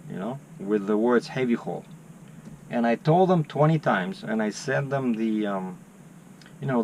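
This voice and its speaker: Man, trucker vlog, animated storytelling, middle pitch, faint vehicle noise. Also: poor audio. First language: Russian. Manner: animated storytelling, acting out phone calls. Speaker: truck driver vlogger. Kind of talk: casual chat.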